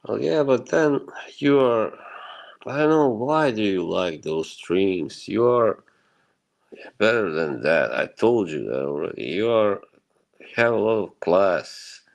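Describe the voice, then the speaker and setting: Slow, gravelly man. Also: lowish voice, lively intonation. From Bosnia. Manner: rambling, reassuring, chuckles often. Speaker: livestream host. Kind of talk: casual chat with live viewers.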